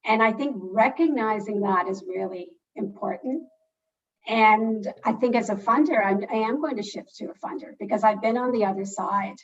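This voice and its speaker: A Canadian woman speaks earnestly on a panel, with a gravelly, fry-laden voice. She sounds distant from her mic, and the audio is dull and muffled, like a video call. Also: medium pitch, a little hiss or hum. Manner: earnest, restarts her phrases. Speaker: philanthropic funder, panelist. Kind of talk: panel discussion.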